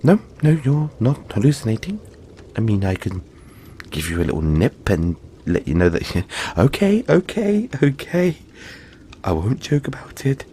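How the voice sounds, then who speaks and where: A man playing a huge, gentle, cuddly yeti boy in an intimate audio roleplay, talking politely and haltingly to reassure the listener. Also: medium pitch, very animated, a little hiss or hum. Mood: apologetic, reassuring. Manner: soft-spoken reassurance, halting, polite and chatty.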